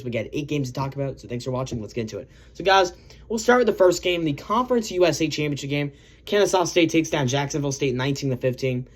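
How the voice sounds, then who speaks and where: A man hosting a casual sports recap video, his voice a little hoarse from yelling, rambling and correcting himself as he talks. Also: medium pitch. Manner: rambling, self-correcting, lots of "guys" and "um".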